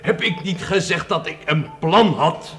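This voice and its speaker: An arrogant lord of a castle, haughty, commanding and full of scorn. The voice is high for a man. It is radio drama, with music clearly heard behind it. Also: unhurried, fairly bright tone. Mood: scornful, gloating. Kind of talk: Dutch radio play acting.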